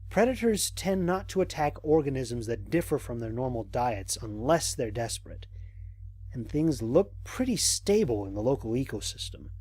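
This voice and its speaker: An actor playing a cautious, observant male space explorer, recording mission logs in a measured, reflective way for an audio drama. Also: mid-range voice, big pitch swings, a little mellow, husky, no room sound. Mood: wary, reflective. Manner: measured, reflective, formal diction with deliberate pauses. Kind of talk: scripted audio drama monologue.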